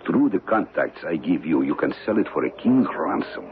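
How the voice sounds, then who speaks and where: Shady antiquities smuggler, very deep swooping voice, worldly and deliberate, old radio drama. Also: male voice, very animated. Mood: scheming, persuasive. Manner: deliberate, worldly, formal phrasing with dramatic pauses. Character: shady, calculating male smuggler of stolen antiquities. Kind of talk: old-time radio mystery acting. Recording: muffled, noisy old radio broadcast recording.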